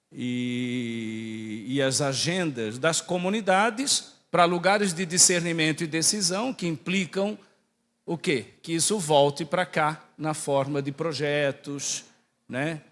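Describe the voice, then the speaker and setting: A man's voice: on the high side, slow pace, a bit bright. A man from southern Brazil with a Santa Catarina accent close to Portuguese, a priest giving a conversational religious talk, teaching and often putting questions to his listeners. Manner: didactic, asks the audience questions and checks in often. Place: large echoing hall. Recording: echoey hall with long reverb.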